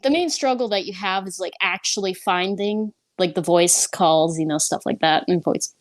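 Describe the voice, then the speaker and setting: A woman's voice: lowish voice, normal speed, no room sound. Giggly young woman, very dry sound. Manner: giggly, rambling, lots of fillers. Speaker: podcast guest, voice actor. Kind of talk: casual chat.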